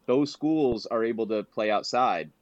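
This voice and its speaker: A man's voice: medium pitch, unhurried, dry recording, muffled recording. A man co-hosting a podcast, telling rambling anecdotes broken by laughter in a casual chat. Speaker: podcast co-host.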